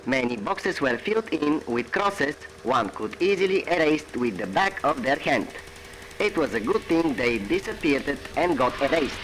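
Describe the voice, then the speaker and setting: An actor playing the herald of a totalitarian party, making formal, official propaganda announcements in an audio drama. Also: male voice, on the high side, fairly bright tone, a little hiss or hum, rough recording. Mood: official, propagandizing.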